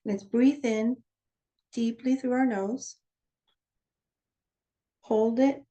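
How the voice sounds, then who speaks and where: A woman teaching shamanic practice, leading a guided journey meditation over a video call, calm and slow when guiding and chatty when explaining. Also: a little high. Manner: chatty and candid when explaining, with soft laughs.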